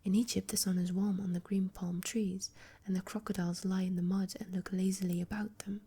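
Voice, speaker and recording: A woman voicing a gentle, loyal male swallow in a soft whisper. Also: medium pitch, little pitch movement, a little fast, slightly rough. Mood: wistful.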